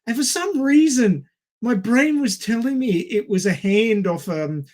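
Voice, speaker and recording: A man with a high-set voice talks as a podcast co-host. He is conversational and animated, with a wry, sweary way of telling a story. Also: a little slow. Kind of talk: casual film discussion.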